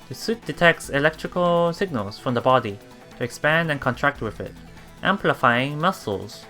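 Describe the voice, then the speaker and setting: Guy doing a visual novel lets play, bright voice, trips on words and rereads them, music in the back. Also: mid-range voice. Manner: halting, stumbles over words and repeats them. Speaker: let's play streamer. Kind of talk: reading visual novel text aloud with off-the-cuff reactions. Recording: music behind the voice. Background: game music.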